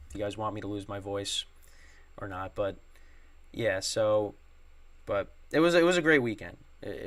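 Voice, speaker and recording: A man's voice: lowish voice, fairly bright tone. A young man hosting a sports podcast solo, rambling with many fillers and restarts, his voice strained from screaming at a game. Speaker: podcast host.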